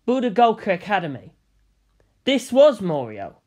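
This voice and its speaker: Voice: mid-range voice, huge pitch swings, normal speed, a little mellow. A narrator reading a first-person story expressively, in the style of an amateur audiobook. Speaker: audiobook reader.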